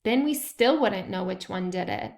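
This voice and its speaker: A thoughtful schoolboy detective from a children's storybook, voiced by a woman reader. He sounds earnest and inquisitive, puzzling things out with his friends in a slightly creaky voice. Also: medium pitch, expressive, normal speed. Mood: questioning.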